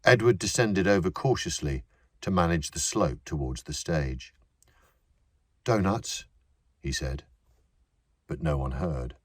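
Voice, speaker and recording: A man reading a novel aloud as narrator in a measured, dryly wry manner. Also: rumbling low, no room sound. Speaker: audiobook narrator. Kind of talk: scripted audiobook narration.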